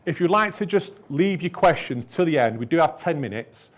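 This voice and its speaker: A man's voice: fairly high pitch, lively intonation, normal speed. A man moderating a conference panel, addressing the audience directly in an upbeat way.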